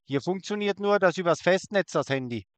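A man's voice: high-pitched, lively intonation, a little fast, clean tone, no room sound. A man on a prank phone call, taunting and crude, sitting in his car in a forest. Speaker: scam baiter.

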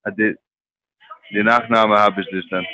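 Man, non-native German, broken grammar, confused, low, bright, dry. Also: steady tone, measured pace, dull audio. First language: not German. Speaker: scam call-center caller.

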